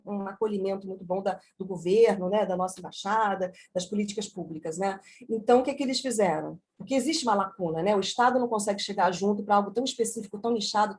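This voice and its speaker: Fast-talking woman, lively presenter. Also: fairly high pitch. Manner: energetic, conversational, many asides. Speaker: book author presenting a chapter. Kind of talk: online book launch talk.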